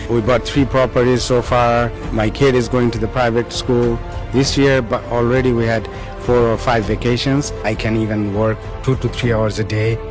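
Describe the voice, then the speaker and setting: A man from Bangladesh giving a calm, reflective testimonial. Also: mid-range voice, moderate pace, poor audio. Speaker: online business owner giving a testimonial. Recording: background music.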